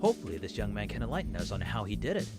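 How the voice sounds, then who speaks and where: A man's voice: fairly low pitch, big pitch swings, rapid-fire. A man voicing a composed professor lecturing a class in a video game, with music playing underneath. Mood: composed, instructive. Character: male professor lecturing on mech combat, composed. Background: game soundtrack music.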